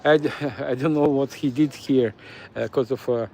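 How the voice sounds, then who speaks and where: A man's voice: mid-range voice, fairly even intonation, moderate pace, vocal fry, warm tone. A man from eastern Ukraine with a Ukrainian accent, whose first language was Russian, giving a walking tour stream with many fillers and restarts. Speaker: city walk streamer. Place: city streets outdoors. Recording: faint street noise outdoors. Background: faint street and traffic noise.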